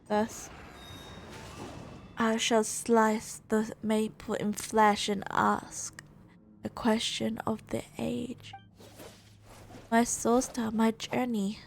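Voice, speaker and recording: A woman gaming streamer doing casual let's play commentary, reading dialogue aloud with short, unhurried reactions. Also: fairly high pitch, monotone, gravelly, a little hiss or hum.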